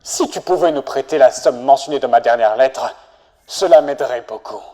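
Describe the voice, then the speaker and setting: A man's voice: mid-range voice, moderate pace, dark tone, lightly acted. A man playing a troubled studio boss who hesitantly confides in a friend, in a dramatic cartoon fandub. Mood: awkward, troubled. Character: reluctant, troubled studio boss humbly asking a friend for help.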